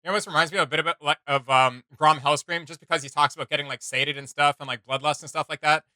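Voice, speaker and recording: Bright-voiced man hosting casual reactions. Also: a little high, fairly even intonation, fast talker, no room sound, clean studio sound. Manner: conversational, rambling. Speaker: reaction video host.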